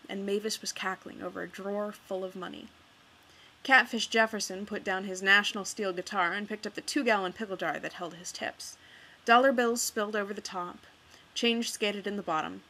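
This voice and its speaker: A woman's voice: mid-range voice, a bit bright, low-quality recording. Chatty young woman from Kansas. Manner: chatty with hesitant fillers. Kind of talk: casual voice blog, reading a book aloud.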